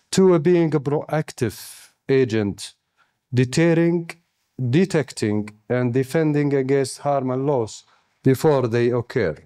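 A man's voice: mid-range voice, mellow. Saudi-accented man reading a formal keynote. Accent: Saudi Arabian. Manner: measured, reading from notes with deliberate pauses. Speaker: keynote speaker. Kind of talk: formal prepared speech. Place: conference stage, indoors. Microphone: handheld microphone on a stand close to the mouth.

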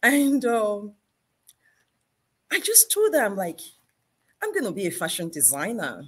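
Female interview guest, middle-aged, Nigerian accent, medium pitch, conversational storytelling over a video call. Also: wildly swooping pitch, a little slow, a bit bright, husky. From Nigeria, Benin City. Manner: laughs easily while talking. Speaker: guest, fashion designer. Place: indoor fashion showroom with mannequins.

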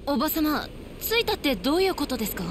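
A young woman in a game, probing and direct. Her fairly high voice is expressive, over faint ambient noise. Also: fast talker. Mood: questioning. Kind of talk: game cutscene acting.

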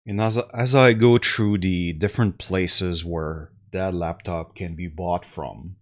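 Slow, low-voiced man streaming with a headset. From Canada. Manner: slow, relaxed, with many fillers and restarts. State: slightly congested. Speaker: tech deals streamer. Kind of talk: casual live-stream talk to chat. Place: small room at home.

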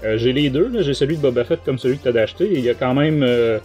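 A middle-aged man with a Québécois French accent, hosting a casual podcast chat in hesitant, halting phrases. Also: mid-range voice, darkish tone, soft background music. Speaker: podcast host. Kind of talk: casual talk show chat.

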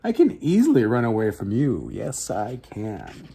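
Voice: mid-range voice, wildly swooping pitch, unhurried, gravelly, dark tone, a little hiss or hum, pitched below the performer's own voice, heavily acted. A storyteller voicing a small boastful gingerbread man who chants his taunts in a sing-song.

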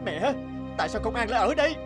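An actor playing an emotional, hot-tempered young son in a cartoon, with dramatic delivery. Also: male voice, very high-pitched, big pitch swings, fast talker. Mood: panicked. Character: hot-tempered, resentful young man, later remorseful son. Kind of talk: cartoon dubbing. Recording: clear music under the voice.

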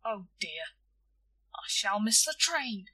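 A woman's voice: medium pitch, big pitch swings, slow pace, bright, forceful tone, far from the mic, full character voice. A woman voicing a lovesick, melancholy male shopkeeper in a story, flustered and fretful. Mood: anxious.

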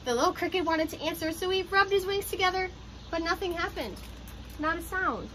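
A young adult woman reading a children's picture book aloud in an expressive, child-directed way, like a teacher. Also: very high-pitched, brisk. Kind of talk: children's storybook read-aloud. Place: outdoors on a porch by glass doors. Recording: ambient outdoor noise behind voice.

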